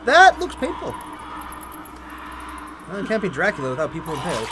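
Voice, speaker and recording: A young man hosting a reaction video, chatting casually with joking, excitable exclamations. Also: on the high side, a little fast, heavy background noise. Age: young adult. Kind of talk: casual banter reacting to an animated parody. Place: small furnished room at home, on a couch.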